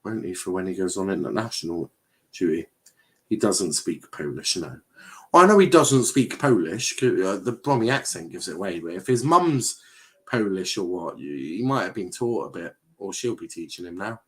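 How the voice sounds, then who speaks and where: A man's voice: deep voice, very animated, moderate pace, a bit bright. A young English man streaming a match watchalong, chatting casually, rambling and swearing as he reacts to the play. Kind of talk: casual live match commentary.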